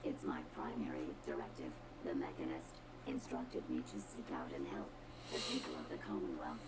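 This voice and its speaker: A woman's voice: a bass voice, lively intonation, moderate pace, heavy background noise. An actress voicing a condescending female robot in a video game, with precise, formal, disdainful diction and a robotic filter. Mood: coldly clinical. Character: haughty, condescending female robot with cold contempt for humans. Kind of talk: video game character dialogue.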